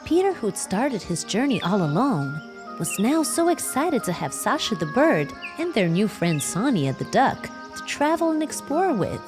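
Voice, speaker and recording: A woman narrating a children's bedtime story, telling it warmly and addressing the listeners directly. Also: fairly high pitch, very animated, normal speed. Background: music.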